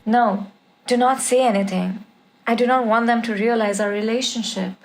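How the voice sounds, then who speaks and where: A woman plays a young niece in a drama script read aloud. She is upset and pleading, with a somewhat high voice as she argues her case to her uncle. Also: fairly even intonation, normal speed, lightly acted. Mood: anxious. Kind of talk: screenplay table read.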